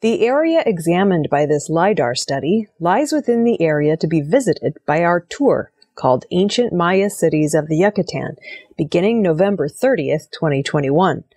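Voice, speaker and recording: A woman presenting scripted news in a measured, formal reading manner. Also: lowish voice, lively intonation, dark tone, breathy edge, no room sound, clean studio sound.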